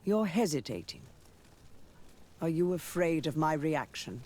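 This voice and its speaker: A man's voice: a little high, expressive, measured pace, a little mellow. A man playing a proud, sceptical religious leader in a video game, speaking in a formal, theatrical and probing manner. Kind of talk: video game cutscene dialogue acting.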